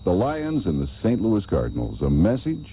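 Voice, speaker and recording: A man's voice: low voice, wildly swooping pitch, normal speed. A man reading a promotional announcement as a radio announcer. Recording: dull old radio tape, faint hum.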